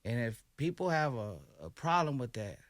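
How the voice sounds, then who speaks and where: A man hosting a radio show, speaking in a calm, measured and reflective way in the studio. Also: mid-range voice. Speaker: radio host. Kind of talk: radio interview.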